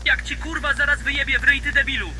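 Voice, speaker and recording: A man's voice: extremely high pitch, steady tone, quick pace, pushed and bright, a little hiss or hum, voice pushed up, full character voice, poor audio. A man playing an enraged, abusive demon stepfather in an analog horror story, berating with vulgar contempt. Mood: furious contempt.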